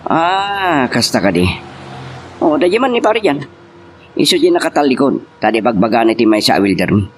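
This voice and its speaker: A man's voice: mid-range voice, sing-song, moderate pace, a little hiss or hum, lightly acted. A man playing a cheeky, nosy male workmate in an audio drama, teasing and flirtatious. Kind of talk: Ilocano audio drama acting.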